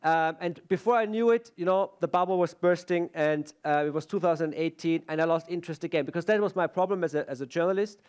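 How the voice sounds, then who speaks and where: A man's voice: a little high, quick pace, hardly any pauses, darkish tone, clean tone. A middle-aged man with an Austrian German accent, a native German speaker, giving a chatty, self-deprecating conference talk on a stage, full of fillers and restarts.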